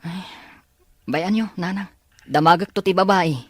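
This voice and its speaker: A woman's voice: lowish voice, a little slow, slight background noise, lightly acted. A woman playing an earnest, persistent young male student and devoted son in an audio drama. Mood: puzzled.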